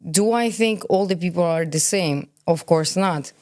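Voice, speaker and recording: Low-pitched, opinionated woman of forty. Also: moderate pace, a little mellow. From born in Kazakhstan, grew up in Belgium. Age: about 40. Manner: opinionated, emphatic, poses questions and answers them herself. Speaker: podcast guest, cosmetologist. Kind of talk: candid conversational interview.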